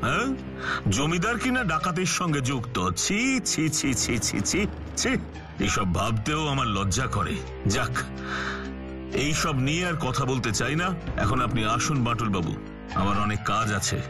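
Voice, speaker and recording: A man's voice: mid-range voice, very animated, moderate pace, lightly acted. A man voicing a smug, sly landlord in a cartoon, pompous and falsely pious. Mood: sanctimonious. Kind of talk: Bengali cartoon dubbing. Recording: music behind the voice.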